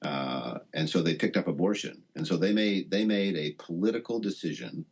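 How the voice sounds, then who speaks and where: A man, a professor being interviewed, explaining things conversationally and haltingly as he thinks aloud. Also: deep voice, darkish tone, slightly rough. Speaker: interview guest, professor of science and religion.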